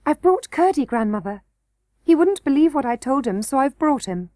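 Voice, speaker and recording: A high, bright little princess, earnest and tearful, as a woman reads her. She pleads eagerly in a lifted voice. The sound is close and dry. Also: sing-song, moderate pace, dry recording.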